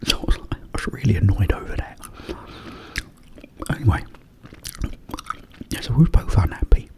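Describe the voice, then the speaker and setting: A British man whispering a casual, rambling ASMR story up close to the microphone, with pauses. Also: fairly low pitch, lively intonation, creaky. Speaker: ASMR video creator.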